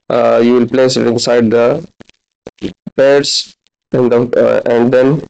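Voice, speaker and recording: A man in his thirties giving a halting, instructional practical demonstration as a physiotherapy teacher. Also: medium pitch, gravelly, darkish tone. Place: large indoor classroom clinic room. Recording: dull, lo-fi camera audio.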